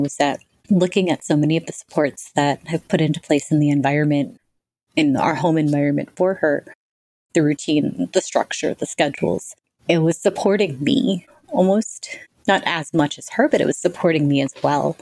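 A woman's voice: low-pitched, no echo. A woman in her forties, a podcast guest, speaking in a reflective, articulate way about her own experience. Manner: reflective, articulate, self-described monotonous voice. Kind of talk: conversational interview answers.

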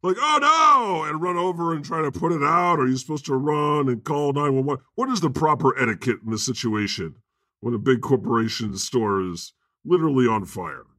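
A man's voice: mid-range voice, expressive, normal speed, pushed and bright, no echo, studio quality. A man co-hosting a podcast with casual, irreverent, sweary comedic banter about the news. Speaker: podcast co-host.

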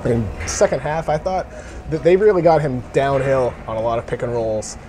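A man's voice: mid-range voice, normal speed, mellow, a little hiss or hum. A man giving casual basketball commentary as an analyst. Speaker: sports analyst.

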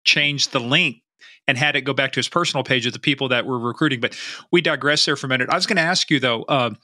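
A male podcast host who asks questions in a chatty, blunt way and swears without a second thought. His voice has a hard, bright edge, and it is recorded close and dry with no room sound. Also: medium pitch, fast, dry recording. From grew up partly in Iran. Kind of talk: casual podcast interview.

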